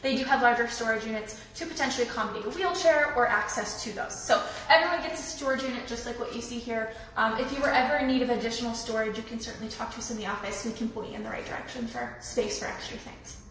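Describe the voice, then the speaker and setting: A young woman with a slightly raspy voice from a cold, giving a friendly, chatty live tour of an apartment building. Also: on the high side, fast talker, hardly any pauses, a bit bright, a little hiss or hum. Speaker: leasing tour host. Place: echoey empty indoor rooms. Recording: echoey room from far off.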